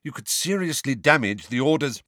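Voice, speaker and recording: A man's voice: mid-range voice, animated, normal speed, bright, forceful tone, dry recording, voice pushed up. A man voicing a tense, impatient grown man in an audiobook, close to his own voice. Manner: clipped, impatient.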